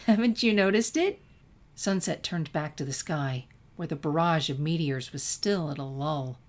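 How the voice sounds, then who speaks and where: Lowish-voiced woman narrating a story. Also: steady tone, moderate pace. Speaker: fan fiction reader. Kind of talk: expressive scripted story narration.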